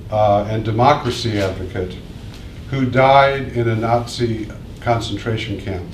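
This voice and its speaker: A man from the Midwest giving a prepared speech as an invited speaker, measured and pausing often. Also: fairly low pitch, a little hiss or hum. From American Midwest. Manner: measured, reads from prepared remarks with pauses. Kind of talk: prepared speech at a public event. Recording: echoey room, mic at a distance.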